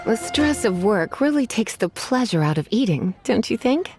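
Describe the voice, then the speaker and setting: Game casting: flirty, refined lady witch, coy and languid, with expressive melodic delivery. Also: a little high, normal speed. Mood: coy, teasing. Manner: coy, sighing, teasing, genteel. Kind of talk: video game character acting. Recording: game music behind voice.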